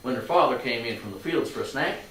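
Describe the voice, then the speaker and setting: A man's voice: mid-range voice, lively intonation, quick pace, a bit bright. A man acting in a staged play reading as a genial, proud shopkeeper father with a friendly, folksy storytelling manner. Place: live staged reading in a room. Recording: very echoey, distant mic, faint noise.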